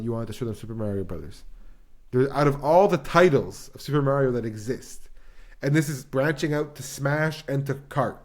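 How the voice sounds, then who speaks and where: A man's voice: mid-range voice, darkish tone. A young man hosting a podcast, chatting casually in slangy, swear-prone talk and repeating phrases for emphasis. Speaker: podcast host.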